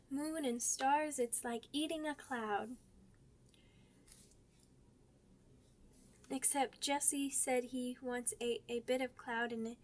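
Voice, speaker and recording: Young werewolf pup, ASMR audio drama: very high, slow, halting childlike delivery, sweet and timid. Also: female voice. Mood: cheerful, eager. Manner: childlike, halting, stammering, simple words.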